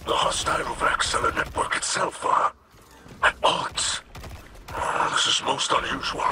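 A man voicing a solemn alien mystic in a video game, with a breathy, whispery voice and formal, deliberate, grave delivery. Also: noisy background, natural voice. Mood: puzzled.

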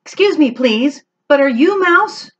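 A woman's voice: high-pitched, animated, a little slow, dull audio, pitched above the performer's own voice. A woman reading aloud, voicing a small, boastful male kitten who talks politely with puffed-up bravado. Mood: politely curious.

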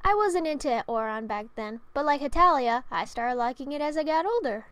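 A young adult woman narrating a storytime vlog as its creator, with an expressive, theatrical delivery. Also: high voice, a little fast.

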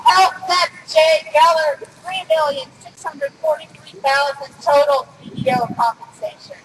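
A man's voice: very high voice, unhurried, a bit bright. A man giving a public speech at an outdoor protest. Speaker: rally speaker. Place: outdoors at city rally. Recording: echoing, distant, noisy outdoor street.

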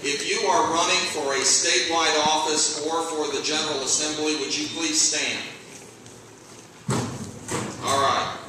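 A man's voice: on the high side, fairly even intonation, a little slow, long pauses, bright, forceful tone, slight background noise. A middle-aged man, chairing a party convention from the stage with folksy joking asides. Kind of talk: convention speech. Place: large hall with stage. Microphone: handheld mic through PA, camera far off. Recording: echoey hall from far off.

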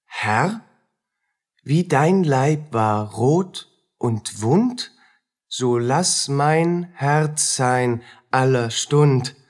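An actor playing a haunted, poor soldier of thirty in a radio play, brooding and halting in his speech. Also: medium pitch. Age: 30s. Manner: slow, halting, brooding delivery.

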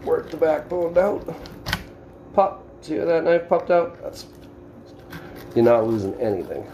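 A man's voice: fairly high pitch, expressive, measured pace, lo-fi sound, noisy background. A middle-aged man with a Boston accent giving a casual step-by-step how-to in his home kitchen, plainspoken and instructive as he works with his hands. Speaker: home cook demonstrating fish filleting. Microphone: camera on the counter.

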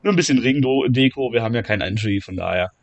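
A man with a cold, commentating a video game wrestling tournament, shouting at the big moments and calmer between them. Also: fast, lo-fi sound. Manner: shouts and gets high-pitched at big moments, calmer when tallying scores. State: has a cold, slightly hoarse. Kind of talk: excited play-by-play commentary.

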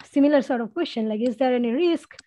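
A woman's voice: sits high, fast, dark tone. A young woman on a headset in a video call, putting questions as a panelist in a political discussion.

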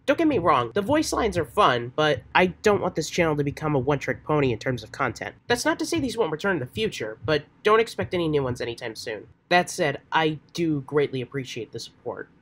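A woman's voice: low-pitched, sing-song, fast talker, hardly any pauses. A woman getting over a cold, speaking casually to her channel's audience between takes. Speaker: voice actor and channel creator. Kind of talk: casual announcement and off-script asides. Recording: clear background noise.

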